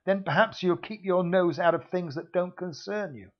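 A man's voice: sits high, normal speed, voice pushed up, natural voice. A man voicing a menacing male secret-service heavy who bullies with mock politeness, on a dull lo-fi recording. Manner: mock-polite, sneering, threatening.

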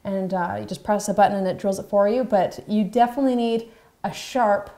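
A woman in her thirties co-hosts a fishing show in a bright indoor studio. Her voice is warm and mellow. She talks in a chatty, animated way, often restarting her sentences. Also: medium pitch. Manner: chatty and animated, quick restarts, laughs easily. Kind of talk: casual chat.

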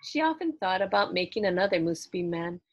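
Expressive woman reading a storybook. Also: lowish voice, dry recording, highs cut off. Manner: clear, deliberate storytelling. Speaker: children's book reader. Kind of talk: expressive storybook read-aloud. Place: small indoor room lined with books.

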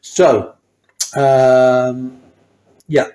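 Mature male mechanic, medium pitch, casual hands-on explainer delivery with wry, self-mocking humour. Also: level tone, very slow, dark tone, low-quality recording. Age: middle-aged or older. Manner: chatty, self-deprecating, wry asides. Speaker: motorcycle mechanic and host. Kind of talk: casual hands-on workshop tutorial. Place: small indoor workshop at a workbench.

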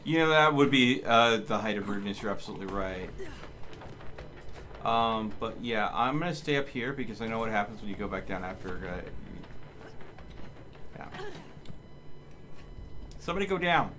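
A man's voice: fairly low pitch, lively intonation, moderate pace, long pauses, background noise. A man in casual co-op gaming chat, wry and wordy with a joking manner. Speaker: gamer in a streamed co-op session. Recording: voice chat mixed with game music. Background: game music.

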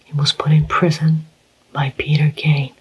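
A reader whispers a scowling young husband from a storybook who talks down to his new wife. His lines come gruff and slow, barely above a breath. The recording is slightly muffled. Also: mid-range voice, level tone. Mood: cold sneering. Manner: gruff, sneering.

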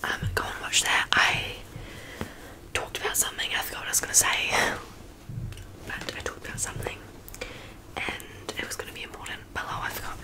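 A woman's voice: a little fast, pauses often, low-quality recording. A young woman with a New Zealand accent, whispering a rambling ASMR chat with lots of ums, close to a studio mic in her small bedroom. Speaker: ASMR creator. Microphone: studio mic on stand, close up.

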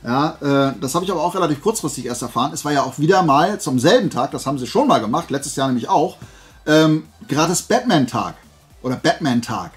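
Male haul vlogger, slightly high voice, chatty and digressive, casual delivery, music underneath. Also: expressive, fairly quick. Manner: chatty, digressive, self-correcting. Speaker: flea market haul video host. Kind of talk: rambling casual chat to viewers.

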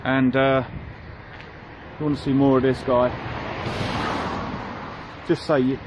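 A man. His pitch sits in the middle of the male range. He speaks into a handheld camera outdoors, with clear traffic noise around him. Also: level tone, takes it slowly, dull audio. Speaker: vlog host. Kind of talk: casual vlog chat. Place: outdoors by a roadside pub. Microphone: handheld camera at arm's length.

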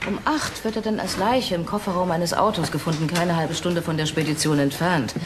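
An actress playing a sceptical police investigator in a crime drama, businesslike and direct. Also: female voice, on the low side, fairly quick, background noise, natural voice. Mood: analytical. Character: matter-of-fact, sceptical female police investigator. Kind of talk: crime drama acting in German.

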